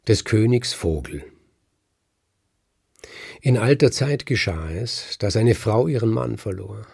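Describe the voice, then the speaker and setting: A man narrating a folk tale as a storyteller, with dramatic pauses and slow emphasis. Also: fairly low pitch, creaky, a little mellow, breathy edge.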